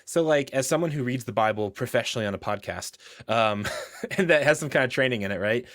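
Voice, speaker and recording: A man's voice: medium pitch, expressive, fast, fairly bright tone, dry recording, studio quality. A man co-hosting a podcast, chatting casually in an informal, wry, rambling way. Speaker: podcast co-host. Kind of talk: casual conversational interviewing.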